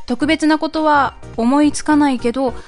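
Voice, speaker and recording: A woman's voice: sits high, fairly even intonation, moderate pace, soft background music. A woman co-hosting a hobby internet radio show, reading listener messages and reacting politely with some giggles.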